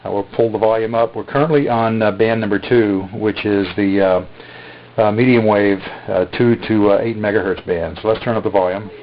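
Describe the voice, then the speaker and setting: Man, lowish voice, hesitant filler-heavy delivery, muffled lo-fi capture; casual product demo. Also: fairly even intonation, slight background noise. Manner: halting, many "uh" fillers. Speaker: radio seller demonstrating a receiver. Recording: dull lo-fi recording.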